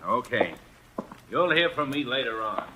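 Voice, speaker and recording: An actor playing a gruff, skeptical police inspector in a 1940s radio drama, blunt in manner. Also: male voice, medium pitch, animated, unhurried, noisy background, natural voice.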